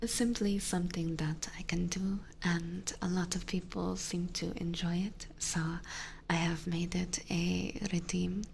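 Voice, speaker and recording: Whispering woman streamer, slow and gravelly. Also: lowish voice, little pitch movement, a little hiss or hum. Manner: whispering, with frequent soft laughs. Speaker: live streamer reading chat. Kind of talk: soft-spoken whispered chat with viewers.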